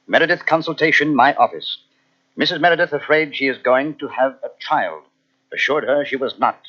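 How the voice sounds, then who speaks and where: An actor playing a measured, knowing doctor in an old-time radio mystery, speaking formally and deliberately with a husky voice. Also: male voice, mid-range voice, pushed and bright. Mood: revealing, deliberate. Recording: muffled old radio cassette transfer.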